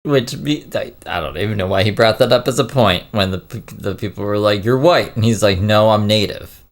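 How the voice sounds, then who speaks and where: A man's voice: fairly low pitch, a little fast, vocal fry. A man hosting a livestream, casually commenting on someone else's stream and mockingly retelling what was said. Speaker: livestream host reacting to another person's livestream. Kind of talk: casual chat, commentary on a played clip.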